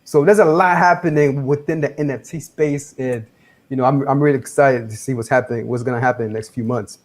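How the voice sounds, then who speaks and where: A man in his twenties rambling excitedly in a casual group chat. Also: medium pitch, fast talker. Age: 20s.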